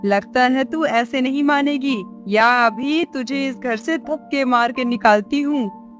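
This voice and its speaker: Cartoon mother-in-law, older, harsh and scornful, acted by a woman; fairly high voice, unhurried scolding. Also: faint music behind. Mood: harsh, threatening. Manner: scolding, contemptuous. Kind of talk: acted dialogue in Hindi moral-story cartoon.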